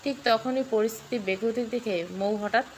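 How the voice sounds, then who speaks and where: A woman's voice: a little high, fairly even intonation, normal speed, slight background noise. A woman reading a scripted story with dramatic delivery. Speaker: story narrator.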